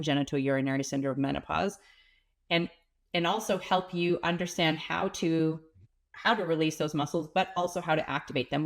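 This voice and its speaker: A woman with a cold and a slightly stuffy, nasal voice, a pelvic health expert calmly explaining things as an interview guest. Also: low-pitched. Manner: earnest, explanatory, some restarts. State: has a cold, sniffling.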